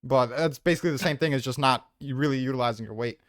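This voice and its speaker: A man's voice: medium pitch, fast talker, no echo. A man debating casually with a blunt, combative manner and plenty of swearing. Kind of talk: casual debate about a manga ranking.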